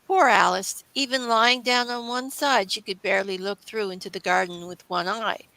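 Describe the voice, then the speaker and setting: Female storybook narrator, scripted read: bright tone, dry recording. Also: medium pitch, no echo, poor audio.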